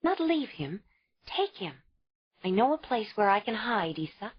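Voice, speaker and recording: A woman's voice: medium pitch, big pitch swings, a little slow, husky, pitched above the performer's own voice. A woman voicing a fierce young cave woman, a new mother pleading tearfully and refusing to give up her baby. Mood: determined. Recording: dull lo-fi audio.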